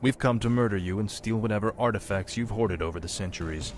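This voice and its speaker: Man playing a low-voiced, cold death knight. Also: fast, lightly acted. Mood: cold resolve. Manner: curt, cold. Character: grim male undead death knight, cold and commanding. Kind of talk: video game cutscene acting. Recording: game audio under loud music.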